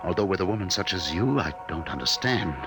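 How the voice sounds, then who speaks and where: An actor playing a decisive, commanding spaceship captain in a 1950s radio drama, brisk and emphatic. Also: male voice, low voice, animated. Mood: guarded, polite. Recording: vintage radio drama with music behind.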